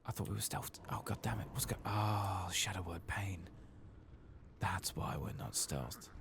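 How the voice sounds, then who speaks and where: A man giving soft-spoken, sometimes whispered ASMR commentary on a game, muttering casually with mild swearing over audible background noise. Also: low-pitched, measured pace, creaky. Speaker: game streamer, ASMR playthrough host.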